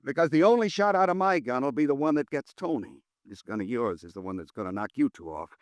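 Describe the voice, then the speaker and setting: A man's voice: mid-range voice, expressive, fast, dry recording, natural voice. An actor playing a desperate, scheming male murderer in a 1950s radio drama, talking hard and menacing. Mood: frantic.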